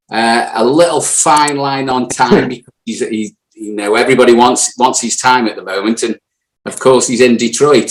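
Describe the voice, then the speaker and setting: British male host, mid-pitched, jokey and teasing, roomy sound. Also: fairly bright tone. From United Kingdom. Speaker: podcast host. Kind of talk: casual chat.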